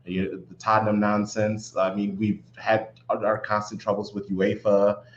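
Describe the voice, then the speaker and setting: A man chatting casually as a sports fan podcast guest, often halting and rephrasing himself. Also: lowish voice, little pitch movement. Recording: roomy, dull audio with clear hum.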